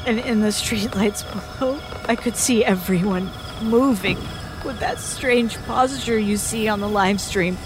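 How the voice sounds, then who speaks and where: A woman playing a terrified young female survivor in an audio horror drama, recounting events in a halting, haunted way with stammered repetitions. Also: medium pitch, noisy background, lightly acted. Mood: haunted, despairing. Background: music.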